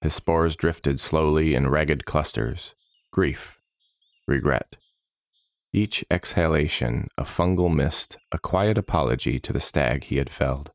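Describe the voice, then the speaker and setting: A man reading dramatic fantasy narration in a measured, solemn manner, giving short phrases weight. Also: a bass voice, creaky, no room sound.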